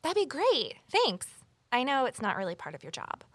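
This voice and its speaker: An actress playing an eager, easily flustered rookie conservation officer and amateur sleuth in an audio drama, earnest and inquisitive. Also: female voice, high-pitched, wildly swooping pitch, normal speed, a bit bright, no echo, exaggerated voice. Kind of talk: naturalistic audio drama acting with first-person narration.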